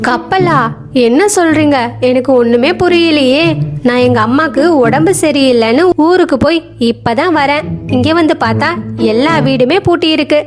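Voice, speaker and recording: A puzzled little bird voiced by a woman in a children's cartoon. It sounds concerned and questioning, pitched high over clear background music. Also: normal speed, natural voice.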